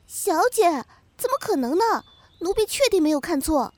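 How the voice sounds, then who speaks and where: Casting: female actor for sharp-tongued loyal maid, period audio drama, insistent, high and very lively pitch. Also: moderate pace. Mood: urgent, tattling. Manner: insistent, scolding. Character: eager, tattling young lady's maid, loyal and sharp-tongued.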